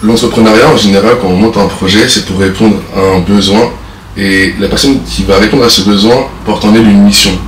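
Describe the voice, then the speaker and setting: A male interviewer with a fairly low voice puts formal questions politely. He is a little off-mic in an echoey room, so the sound is reverberant and slightly distant. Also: fairly even intonation, brisk. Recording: roomy echo, distant mic, faint noise.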